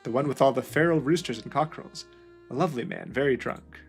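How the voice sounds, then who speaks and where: A man's voice: medium pitch, quick pace, creaky, soft background music, close to an everyday voice. A man voicing a friendly male Chilean wine shopkeeper, chatty and polite.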